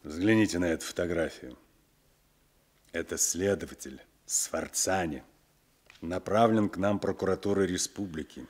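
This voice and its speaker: An actor in a 1960s Soviet radio play playing a wry, unhurried police sergeant, a loyal subordinate. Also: male voice, sits low, a little mellow, slightly rough.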